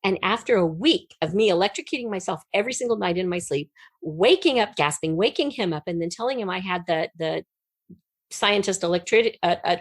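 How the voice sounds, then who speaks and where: A middle-aged woman telling personal stories as an interview guest, animated and acting out the remarks she quotes. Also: medium pitch, no echo. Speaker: guest, writer.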